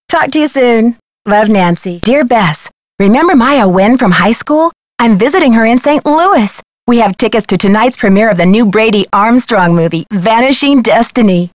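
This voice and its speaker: A woman acts as a level-headed teenage girl sleuth from a mystery game. She speaks at a middling pitch in a composed, conversational manner. The recording is dry and close, with no room sound. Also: no echo, natural voice. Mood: chatty. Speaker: video game voice actor. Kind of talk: scripted game dialogue and letter narration.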